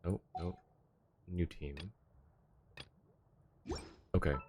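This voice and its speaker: A man's voice: low-pitched, fairly even intonation, drawn-out words, mellow, a little hiss or hum. A man chatting casually as a game streamer, thinking aloud in an informal way. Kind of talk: casual livestream chat and game commentary.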